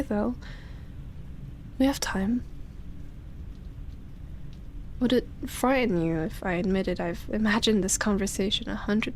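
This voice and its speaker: A woman acts out an immortal vampire woman in an intimate ASMR audio drama. She speaks slowly at a medium feminine pitch, breathy and seductive, sometimes dropping to a whisper. She is teasing and possessive yet tender, with faint ambient noise behind her. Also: natural voice. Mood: wistful confession. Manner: breathy teasing, soft sighs and chuckles, whispered phrases.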